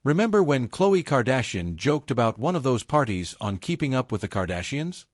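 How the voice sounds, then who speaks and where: A man's voice: medium pitch, big pitch swings, moderate pace, dry recording, clean studio sound. A man reading scripted celebrity gossip narration in a dramatic, insinuating storytelling manner.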